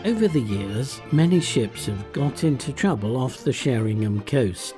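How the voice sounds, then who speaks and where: A man's voice: mid-range voice, expressive, measured pace. A man reading a scripted piece of narration in the role of narrator. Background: music.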